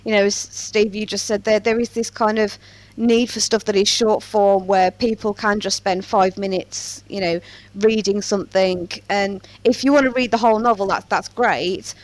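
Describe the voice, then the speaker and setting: A woman's voice: medium pitch, no echo. A woman hosting a podcast, chatting casually in a playful, self-aware way. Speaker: podcast host.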